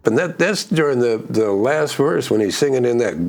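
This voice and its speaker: A man with a gruff voice hosting a casual music breakdown, rambling with restarts and plenty of enthusiasm. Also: medium pitch, lively intonation, moderate pace, a little mellow. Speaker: music channel host.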